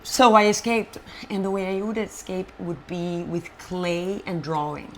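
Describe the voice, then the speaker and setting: A woman from Colombia, a native Spanish speaker, telling her life story as an interview guest in an animated, halting way with frequent restarts. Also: on the low side, a little hiss or hum. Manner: animated, halting, many fillers and restarts, frank. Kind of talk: personal storytelling in conversation.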